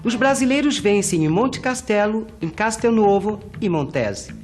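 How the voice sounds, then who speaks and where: A man's voice: sits high, expressive, a little slow, darkish tone, faint music behind. A man with a Brazilian accent narrates scripted historical events in short, declarative, newsreel-like sentences.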